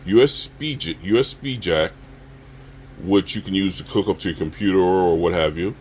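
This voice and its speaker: A man hosting a casual, unscripted gear review, talking straight to viewers and often checking in with a quick okay or all right. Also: deep voice, darkish tone, slight background noise. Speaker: survival channel host reviewing gear.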